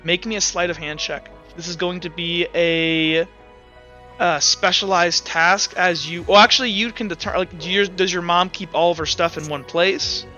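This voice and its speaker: A man's voice: sits high, steady tone, moderate pace, pushed and bright, muffled recording, soft background music. A man narrating as game master of a tabletop roleplaying game, animatedly acting out the action as he improvises.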